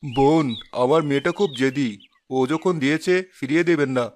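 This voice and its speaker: A man voicing a calm, kind, well-off father in a cartoon, speaking gently. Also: a little high, brisk, slight background noise, natural voice. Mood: gentle. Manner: gentle, soft-spoken. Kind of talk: cartoon voice acting.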